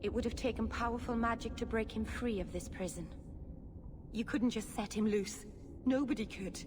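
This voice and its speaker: An actress playing an earnest, emotionally open young elf mage in a dramatic video game. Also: female voice, a little high, steady tone, moderate pace, lightly acted. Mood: uneasy, solemn. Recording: long reverb, faint background noise.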